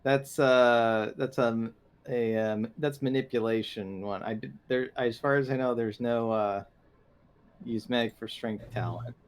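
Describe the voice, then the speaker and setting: Mid-pitched man, halting game talk. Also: fairly even intonation, clear, resonant tone. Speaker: tabletop game player. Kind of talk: casual game chat.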